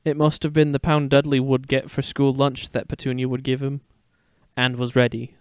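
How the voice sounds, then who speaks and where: A man's voice: mid-range voice, little pitch movement, normal speed, clear, resonant tone, dry recording, studio quality, lightly acted. A man voicing a playful, protective young father in a dramatic story reading. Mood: reading aloud. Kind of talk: dramatic fan fiction reading.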